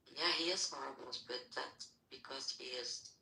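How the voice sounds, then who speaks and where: A woman moderating a casual discussion in English, which is not her first language. Her voice is very low for a woman and bright, and she speaks plainly and directly. The recording has a roomy echo. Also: measured pace, vocal fry. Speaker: room moderator.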